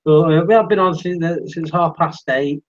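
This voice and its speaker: An English man hosts a live-stream chat, his voice slightly high for a man and starting to give out. The sound is dull and lo-fi, with the top end cut off. He talks in a chatty, easygoing way. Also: normal speed. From England. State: losing his voice. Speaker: live-stream host and interviewer.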